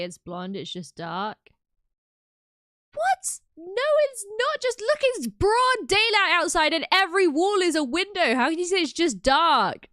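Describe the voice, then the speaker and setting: A British woman livestreaming, chatting casually and reacting loudly to her chat. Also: a little high, dry recording, clean studio sound. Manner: animated and exclamatory, reacting to chat. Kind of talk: casual live stream chat.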